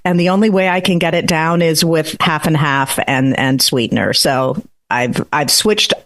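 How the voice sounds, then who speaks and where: A woman's voice: low-pitched, fairly even intonation, normal speed, a bit bright. A middle-aged woman who hosts a true-crime podcast, answering interview questions earnestly and laughing easily.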